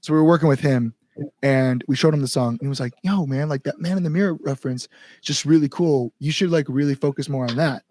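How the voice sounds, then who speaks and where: A man's voice: on the high side, quick pace, darkish tone, dry recording. A man chatting casually as a musician guest, rambling through stories with lots of likes and acted-out asides. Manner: rambling storyteller, frequent likes, acts out inner thoughts. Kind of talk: casual podcast chat.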